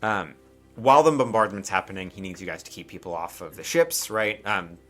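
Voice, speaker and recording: Low-voiced man running a tabletop game. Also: lively intonation, pushed and bright, soft background music. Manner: informal, halting, frequently hedging with asides. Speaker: game master. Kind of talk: casual improvised narration of a tabletop game.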